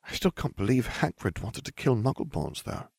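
A man's voice: medium pitch, very animated, moderate pace, vocal fry, slightly rough, no room sound, studio quality, voice pushed up, heavily acted. A man voicing an earnest, bookish twelve-year-old schoolgirl in a storybook reading. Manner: earnest, softly insistent.

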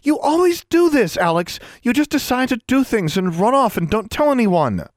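A man acts out an anxious young schoolgirl witch in a storybook reading, pitched way up high. The delivery is breathy, accusing and insistent, in a dry recording. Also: very animated, takes it slowly, no room sound, heavily acted. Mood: angry, accusing.

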